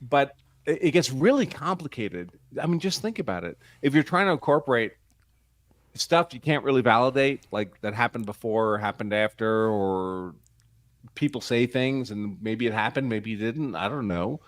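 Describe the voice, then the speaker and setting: A man with a mid-pitched voice talks through his views in a measured, opinionated way, stressing key points with animation. The sound is dry and close, in the style of a casual live discussion. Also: expressive, dry recording. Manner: measured, opinionated, explains his reasoning carefully. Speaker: trial commentator and livestreamer.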